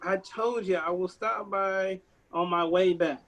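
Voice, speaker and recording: A man playing an anxious screenwriter in a table read, halting and hesitant as he speaks. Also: sits high, steady tone, fairly bright tone. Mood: harried. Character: anxious screenwriter man pitching his action script to producers.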